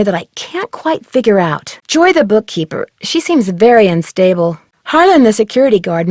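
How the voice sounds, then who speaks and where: An actress playing a curious, plucky young woman detective in an adventure game, speaking in a clear, conversational, scripted way. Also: on the high side, dry recording, clean studio sound.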